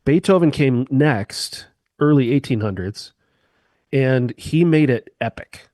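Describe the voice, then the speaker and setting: A man of middle pitch talks through ideas with eager, sweeping comparisons, like a musician guest on a podcast. His voice sits close and dry on the mic, with no room around it. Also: animated, takes it slowly, darkish tone, no room sound. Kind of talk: casual explanatory chat.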